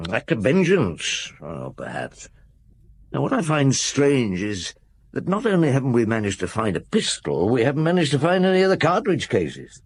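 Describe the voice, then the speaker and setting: An actor playing a shrewd, unhurried French chief inspector in a radio drama, musing in hums and asking blunt, probing questions. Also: male voice, medium pitch, huge pitch swings, slightly rough, dry recording, heavily acted. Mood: musing, brisk. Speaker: actor in the lead role of a radio detective drama. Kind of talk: British radio drama acting with first-person narration.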